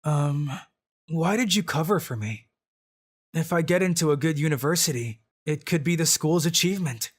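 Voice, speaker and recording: A man's voice: on the high side, normal speed. A man voicing a dry, secretly genius male student narrating his thoughts in a manga dub. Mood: deadpan. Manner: deadpan inner monologue.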